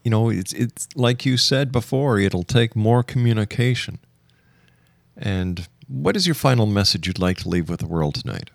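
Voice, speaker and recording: A man's voice: fairly low pitch, lively intonation, normal speed, gravelly, no room sound. A man hosting a radio interview in a conversational way. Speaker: radio talk show host.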